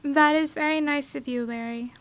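Woman acting a high-voiced, earnest lost little girl. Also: steady tone, normal speed, in a large hall. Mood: innocent, earnest. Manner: formal, stilted speech without contractions. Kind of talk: audio drama acting. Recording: clear background hum and noise.

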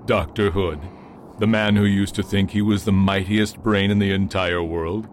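A man's voice: low voice, fairly even intonation, normal speed, natural voice. A man playing a curious, dry-humoured detective friend in a radio play, speaking formally and wryly. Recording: faint background noise.

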